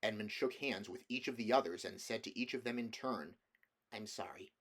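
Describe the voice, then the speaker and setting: A man's voice: on the low side, a little fast, fairly bright tone. A man reading a children's fantasy novel aloud as scripted storybook narration. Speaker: amateur audiobook reader.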